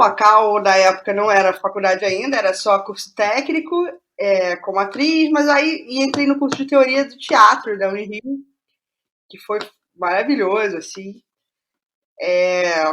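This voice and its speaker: Middle-aged Rio woman, informal and halting. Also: medium pitch, sharp tone, a little hiss or hum. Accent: Brazilian, Rio de Janeiro. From Rio de Janeiro state, Brazil. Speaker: playwright, interview guest. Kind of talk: casual conversational storytelling.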